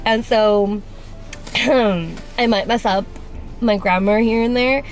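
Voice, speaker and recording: Chatty Spanish-accented woman, slightly high voice. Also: slow pace, soft background music. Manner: chatty, rambling, with many "like" fillers. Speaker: vlogger. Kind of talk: casual chat. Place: car and gym. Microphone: handheld camera.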